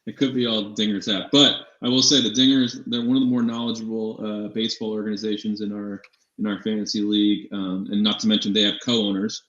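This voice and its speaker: A man's voice: fairly low pitch, little pitch movement, brisk, a bit bright. A man co-hosting a fantasy sports show in casual, informal chat, often repeating phrases for emphasis. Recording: slightly dull, echoey room sound.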